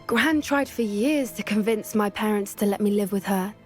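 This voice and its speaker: A woman's voice: mid-range voice, normal speed, faint music behind, natural voice. A woman voicing an earnest young student girl. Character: earnest young female student, gentle creature lover. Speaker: game character. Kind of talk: video game dialogue.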